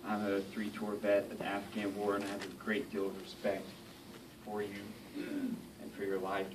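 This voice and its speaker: A man's voice: fairly low pitch, animated, normal speed. A man in an audience respectfully addressing a speaker with a question. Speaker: audience member, military veteran. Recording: distant mic with faint noise.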